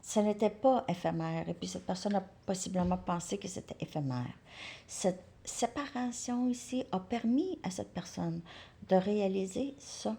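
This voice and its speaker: A woman giving a casual tarot card reading. She thinks aloud, repeats words and drops into soft asides. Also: mid-range voice, moderate pace, vocal fry.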